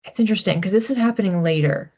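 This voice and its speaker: A woman hosting an informal psychic card reading in a conversational, reflective way, with animated asides. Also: mid-range voice, quick pace, muffled recording. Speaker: psychic card reader, host.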